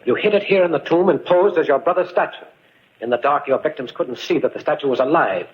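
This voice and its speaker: An actor playing a calm, deductive detective in a 1940s radio mystery, speaking gravely and deliberately. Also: male voice, on the high side, natural voice. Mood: accusing. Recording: noisy, muffled vintage radio recording.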